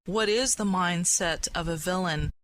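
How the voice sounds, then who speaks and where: A woman's voice: on the low side, measured pace, a bit bright, no echo. A woman hosting a conversational panel discussion in an earnest, reflective way. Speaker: podcast host. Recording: noticeable background noise.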